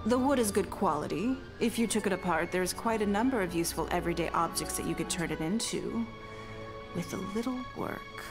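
An actress voicing a poised, shrewd noble leader in a video game, speaking in a composed, measured, authoritative way, with music playing behind. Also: female voice, lowish voice, animated. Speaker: game character.

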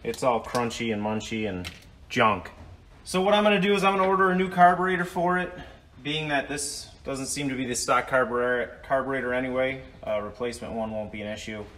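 A man's voice: medium pitch, brisk, a bit bright, a little hiss or hum. A man in a workshop casually explaining a repair step by step, with many fillers, recorded on the camera mic. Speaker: DIY repair vlogger. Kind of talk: casual how-to narration. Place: indoor workshop garage.

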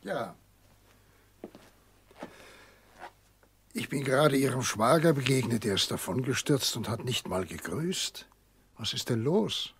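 An older actor playing a kindly, remorseful old family doctor in a radio drama, fatherly, gentle and rough-voiced. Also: medium pitch, expressive, moderate pace. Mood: puzzled. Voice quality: very husky, rough. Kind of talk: German radio drama acting.

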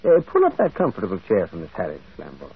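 A gentle, shrewd priest, musing in a fairly low, husky voice. His intonation swings widely, in an old radio play. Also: wildly swooping pitch, quick pace, exaggerated voice. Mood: kindly, musing. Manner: avuncular, musing. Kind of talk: 1940s radio drama acting. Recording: dull old radio broadcast.

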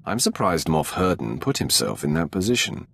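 An actor playing a commanding, imperious Sith lord in a video game, with a gravelly voice and formal, menacing delivery. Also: male voice, sits low, sing-song, a bit bright, exaggerated voice. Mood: stern, menacing. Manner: imperious, formal, measured wording. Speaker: military commander, player character. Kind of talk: video game dialogue acting. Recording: music under the voice.

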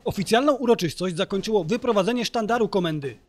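A man's voice: sits high, lively intonation, fast. A man reading scripted narration for a local news report. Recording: voice-over with music behind. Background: music.

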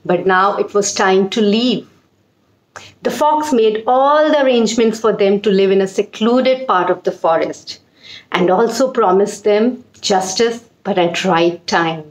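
A woman in her forties with an Indian accent, telling a children's story with deliberate, emphatic repetition. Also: mid-range voice. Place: small indoor room. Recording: roomy echo.

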